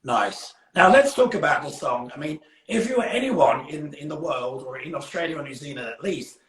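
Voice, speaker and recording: A man with a gravelly voice hosting a casual live music interview in an effusive, exclaiming manner. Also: on the high side, animated, moderate pace, fairly bright tone, echoey room, low-quality recording. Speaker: interview show host. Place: indoors, live social media stream.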